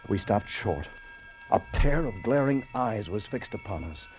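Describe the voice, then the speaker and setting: Earnest young traveller, low voice, lively delivery, old radio sound with music. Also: male voice, unhurried, lo-fi sound. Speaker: narrator and time traveller. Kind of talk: 1940s radio acting.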